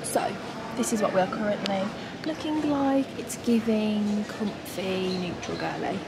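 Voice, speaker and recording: A woman, chatting excitedly in a casual shopping vlog, filmed on a handheld phone inside big stores. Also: medium pitch, a little slow, gravelly, heavy background noise. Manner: gushing, upbeat, lots of "like". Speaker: vlogger. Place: large indoor shopping stores. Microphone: handheld phone at arm's length. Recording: loud store ambience behind voice.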